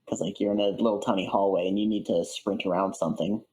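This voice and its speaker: A man speaking as a guest in a casual interview, in a halting way that repeats words for emphasis. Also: low voice, fairly even intonation, a little mellow, rough recording. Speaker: interview guest, audiobook voice actor.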